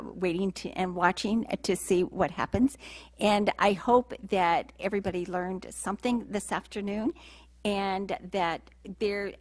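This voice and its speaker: A woman's voice: fairly low pitch, vocal fry, no echo. A woman moderating a policy briefing, politely wrapping up the session.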